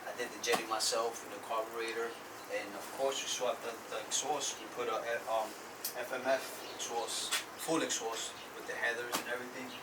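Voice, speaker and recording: A man from Ecuador casually describing his motorbike in an interview, relaxed and saying "bro" often. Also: medium pitch, brisk. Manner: relaxed, says "bro" a lot, frequent restarts. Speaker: interviewee, vehicle owner. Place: outdoors by vehicles. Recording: distant from mic, loud background noise.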